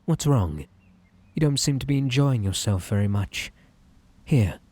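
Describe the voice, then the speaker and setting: A man acts out an unhinged, possessive bunny boy hosting a tea party, with a British accent. The voice is low and breathy, swooping widely in pitch. The delivery is theatrically polite and coaxing, with menace underneath, in an ASMR roleplay style. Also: a little mellow, no room sound, full character voice. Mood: cloyingly cheerful hospitality.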